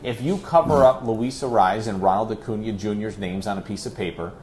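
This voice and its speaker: Low-voiced man talking sports over loud noise. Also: fairly quick. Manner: emphatic, conversational, poses rhetorical questions. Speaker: guest radio play-by-play announcer. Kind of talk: animated sports discussion.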